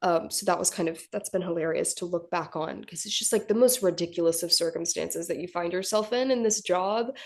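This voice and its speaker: A young actress chatting casually in an interview, with lots of likes and ums. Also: female voice, lowish voice, brisk, hardly any pauses, darkish tone. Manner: casual, chatty, filler-heavy. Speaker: actor. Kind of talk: press junket interview.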